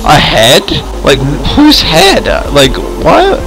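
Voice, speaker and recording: A man chatting casually in improvised game roleplay, rambling and often repeating words haltingly. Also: a little high, huge pitch swings, gravelly, rough recording. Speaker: host and player in a roleplay game video. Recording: music behind the voice. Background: music.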